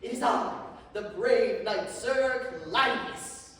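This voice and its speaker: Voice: mid-range voice, takes it slowly, fairly bright tone. An actor playing a boastful, pompous knight in a live puppet show, declaiming with theatrical indignation. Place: large old gym, live stage performance. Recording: echoey hall, distant mic, background noise.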